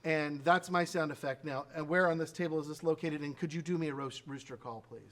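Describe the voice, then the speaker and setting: A man's voice: fairly high pitch, steady tone, brisk. A man from a radio drama troupe, casually prompting sound effects and rambling with many fillers and restarts. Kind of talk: casual demonstration talk.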